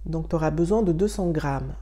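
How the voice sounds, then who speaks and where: A woman's voice: low voice, mellow, slight background noise. A young woman from Mayotte hosting a casual home tutorial, speaking straight to the viewer in a friendly way with frequent hesitations. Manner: friendly, addresses viewer directly, frequent "euh" pauses. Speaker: wellness channel host. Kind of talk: casual tutorial talking to camera.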